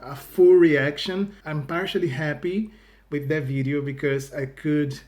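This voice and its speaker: Brazilian man, halting casual vlog chat. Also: a little high, a little mellow. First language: Portuguese. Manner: halting, restarts phrases, fills with "you know". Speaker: YouTube reaction host. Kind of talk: casual video chat to viewers.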